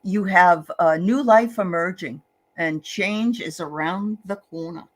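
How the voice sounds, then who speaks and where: A woman's voice: fairly low pitch, a little slow. Woman, Boston accent, chatty, encouraging, casual tarot livestream host. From Boston area.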